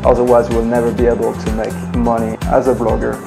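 A man's voice: medium pitch, completely flat delivery, fast talker, vocal fry, music in the background. A man in his late twenties with a French accent, from Ivory Coast, telling his personal story to camera from his living room in an earnest, reflective way with many fillers. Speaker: travel blogger. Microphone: camera at desk distance.

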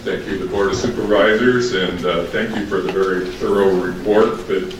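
A retired older man giving a measured, businesslike public comment at a county board meeting. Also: low-pitched, steady tone. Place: large council chamber. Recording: echoey hall from far off, noisy.

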